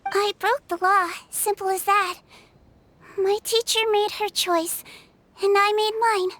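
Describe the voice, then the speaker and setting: A woman voicing a calm, kind young nonhuman doctor in a video game, who tells her own story in a soft, kindly way. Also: extremely high pitch, slow, no echo, full character voice. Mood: gentle. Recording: game soundtrack music behind.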